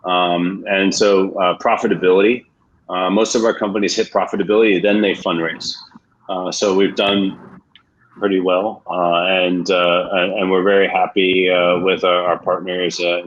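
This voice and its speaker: American guy, really low voice, blunt vc talk full of uhs, muffled call audio. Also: slight background noise. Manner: blunt, colloquial, many filler "uh"s. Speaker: venture investor. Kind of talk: webinar panel talk. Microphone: video call.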